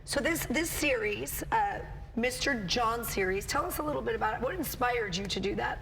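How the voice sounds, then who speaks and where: Playful, upbeat female news anchor, higher pitch, noticeable studio hum. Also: animated, moderate pace, creaky, a bit bright, rough recording. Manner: bubbly, chatty, playful. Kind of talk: live TV morning show interview.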